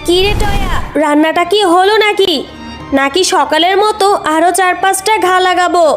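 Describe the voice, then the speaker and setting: A woman's voice: extremely high pitch, normal speed. A woman voicing a cruel, bossy aunt in a children's cartoon, nagging sharply with threats and scornful questions. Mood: threatening. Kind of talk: children's cartoon fairy-tale dubbing. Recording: music clearly behind voice.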